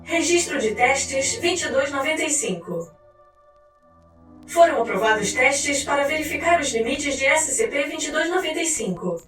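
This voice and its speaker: A high-pitched female narrator with a Brazilian accent delivers a formal, clinical document reading. Her voice carries a clear room echo and sits a little way from the mic, over music. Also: lively intonation, normal speed. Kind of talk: scripted narration of a fictional scientific file. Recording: roomy echo, distant mic, faint music.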